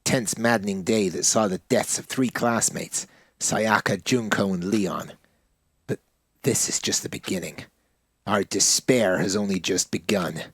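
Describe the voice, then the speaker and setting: A man's voice: on the low side, a little slow, fairly bright tone, slightly rough, dry recording. A man hosting a gaming channel, reading out a visual novel and commenting as he plays. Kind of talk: let's play narration and commentary.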